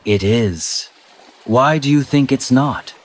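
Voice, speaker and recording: A man's voice: medium pitch, slow. A man voicing a young Filipino man who guards the way to a hidden kingdom, talking in a teasing, skeptical way. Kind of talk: amateur animated voice acting.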